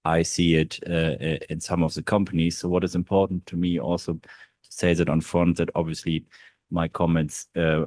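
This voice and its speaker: German guy on a law panel, low dark voice, keeps saying uh. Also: flat delivery, normal speed, no echo, studio quality. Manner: hesitant, many "uh" fillers. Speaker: in-house counsel panelist. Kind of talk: panel talk at a law event. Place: large historic hall.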